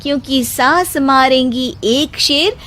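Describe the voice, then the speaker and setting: Woman playing young Indian family-story wife, feisty, very high voice, theatrical, complaining, faint background noise. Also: takes it slowly, fairly bright tone. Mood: defiant, theatrical. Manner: declaims mocking rhymed couplets. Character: young daughter-in-law, feisty, complaining, rhyme-slinging wife.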